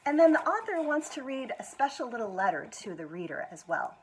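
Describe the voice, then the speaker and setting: An American woman with a husky voice reads a children's picture book aloud expressively, stretching words for emphasis. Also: fairly high pitch, a little hiss or hum. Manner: expressive, with drawn-out emphasis and quiet asides to show pictures. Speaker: executive director of a schools foundation, guest reader.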